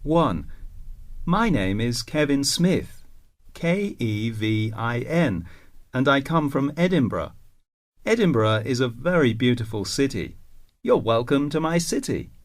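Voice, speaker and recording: A man reading scripted English listening exercises deliberately, spelling words out letter by letter. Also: mid-range voice, very animated. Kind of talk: scripted slow textbook dialogue.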